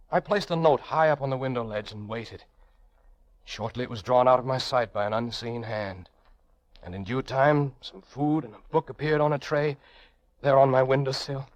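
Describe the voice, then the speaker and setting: An actor playing a proud, intense young prisoner in an old-time radio drama, speaking in earnest, reflective phrases. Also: male voice, medium pitch, no room sound, close to an everyday voice, low-quality recording. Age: young adult. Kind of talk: old-time radio drama acting, first-person narration.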